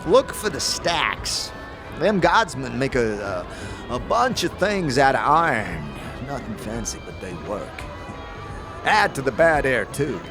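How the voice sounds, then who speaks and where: Sickly old ex-warrior, creaky and wheezing, lively swooping pitch, stammering, wry grumbler, fantasy audio drama. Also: male voice, measured pace. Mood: grumbling, amused. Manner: stammering, chuckling, folksy slang. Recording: music under the voice.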